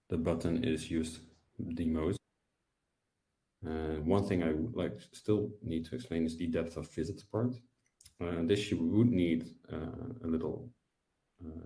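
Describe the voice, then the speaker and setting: Low-voiced man explaining, softly mellow. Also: vocal fry. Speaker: webinar presenter. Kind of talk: explanatory software tutorial. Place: indoor room, video call. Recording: roomy echo.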